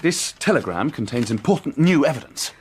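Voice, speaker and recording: A man's voice: medium pitch, very animated, a little fast, a little hiss or hum, lightly acted. An actor playing an earnest, self-assured young male detective in a radio drama, speaking in a formal, intense and emphatic way. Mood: confident. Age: young adult. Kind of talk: British radio drama acting.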